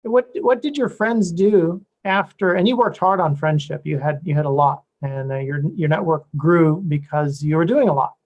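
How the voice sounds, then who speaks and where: Higher-voiced male interviewer. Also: normal speed, hardly any pauses, darkish tone, highs cut off. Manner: rambling, rephrases questions. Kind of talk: conversational interview questions.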